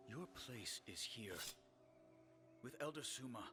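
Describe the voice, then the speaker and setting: Grave, bright-edged swordsman. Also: mid-range voice, lively intonation, faint music behind. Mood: solemn. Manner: solemn, measured. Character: grave, dutiful swordsman brother, stern and restrained. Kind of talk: cinematic fantasy game acting.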